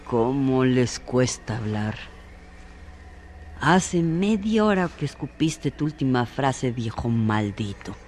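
Bitter ageing woman, very slow, deep-voiced. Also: animated, darkish tone, a little hiss or hum, full character voice. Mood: scornful, taunting. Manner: theatrical, slow, venomous. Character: bitter, ailing, ageing woman consumed by jealous rage. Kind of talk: radio drama acting.

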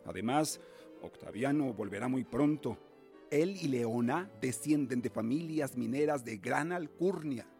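An actor playing a stern, cautious middle-aged uncle in a radio drama, speaking in a measured, formal, paternal way. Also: male voice, medium pitch, animated, a little mellow, soft background music. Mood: cautioning. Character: stern, cautious guardian uncle of colonial Mexico.

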